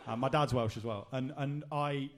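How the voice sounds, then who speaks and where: A man's voice: medium pitch, fairly even intonation, normal speed. A man hosting a casual podcast, running the segments and telling anecdotes with laughs. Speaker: podcast host. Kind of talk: casual chat.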